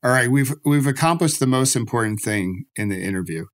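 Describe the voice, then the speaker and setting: A man hosts a podcast interview from his home office. He talks at an ordinary middle pitch, relaxed and chatty, and keeps the conversation loose with casual fillers as he draws his guest out. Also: a little fast. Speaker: podcast host.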